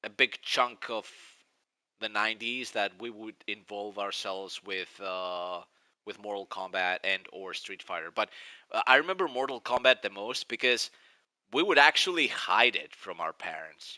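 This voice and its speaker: A man's voice: fairly low pitch, expressive, moderate pace, sharp tone, no room sound. A man in his forties with a Greek accent, rambling and nostalgic as he chats casually on a live stream. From Greece. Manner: rambling, nostalgic, restarts often.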